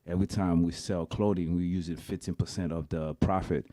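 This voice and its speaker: A man from Haiti with a Haitian accent, a guest entrepreneur answering interview questions in a radio studio, measured and hesitant. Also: low-pitched, fairly even intonation, warm tone, no room sound.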